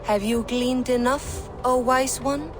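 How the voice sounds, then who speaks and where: An actress playing an eerie seer who prophesies doom in a Norse video game, speaking like an oracle. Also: female voice, on the high side, fairly even intonation, takes it slowly, music in the background. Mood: taunting. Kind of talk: dramatic video game acting.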